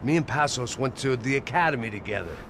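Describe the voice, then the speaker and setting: A man playing a weary, cynical middle-aged American ex-cop in a video game, speaking in a low, gruff, terse way. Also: expressive, fast, noisy background, close to an everyday voice. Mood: defensive, gruff. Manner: world-weary, terse, hard-boiled. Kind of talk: video game cutscene dialogue and noir voice-over.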